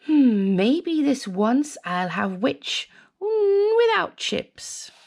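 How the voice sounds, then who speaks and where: Woman playing a mean, greedy storybook dragon, slow and drawn-out. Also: high-pitched, big pitch swings, vocal fry, darkish tone, pitched above the performer's own voice, exaggerated voice. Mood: greedy, gloating. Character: mean, greedy dragon licking his lips. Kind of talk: storybook character voice.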